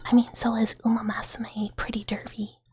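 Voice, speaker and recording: A woman's voice: fairly high pitch, little pitch movement, moderate pace, dark tone, breathy edge. A woman in her thirties, whispering and giggling through a casual livestream chat as she answers comments from viewers. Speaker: streamer.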